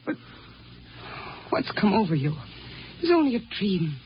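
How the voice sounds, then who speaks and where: A dreamy, hesitant Martian wife in an old radio play. She speaks slowly and wistfully, as if longing for someone else. The recording is dull, with clear background noise. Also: mid-range voice, very animated. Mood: wistful, flustered. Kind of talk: 1950s radio drama acting.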